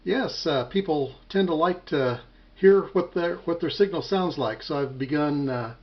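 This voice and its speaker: An American man talking casually as an amateur radio operator, measured and a little hesitant, on a muffled home recording. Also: fairly high pitch, a little hiss or hum.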